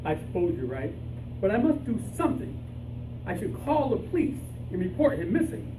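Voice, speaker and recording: A man's voice: high voice, very animated, moderate pace. Naive, hesitant radio-comedy sleuth, male actor; swooping delivery, noisy distant recording. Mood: anxious. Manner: hesitant, stammering, earnest. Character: anxious, naive male amateur detective hunting his lost canary. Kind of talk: old-time radio comedy acting. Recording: echoey room from far off, noisy.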